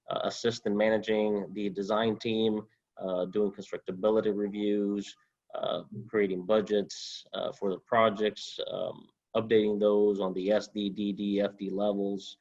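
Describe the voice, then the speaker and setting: Low, flat-voiced young man from Honduras. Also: highs cut off. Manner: halting, many uh fillers, steady. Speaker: alumnus guest. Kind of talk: interview answers.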